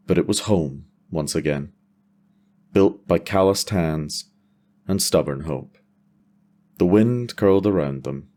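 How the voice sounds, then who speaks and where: A man's voice: low-pitched, mellow. A man delivering scripted, cinematic narration for a fantasy audio drama.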